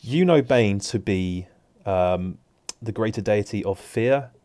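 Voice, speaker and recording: A man's voice: on the low side, takes it slowly, warm tone. A man narrating a tabletop game as game master, casual and hesitant with many fillers.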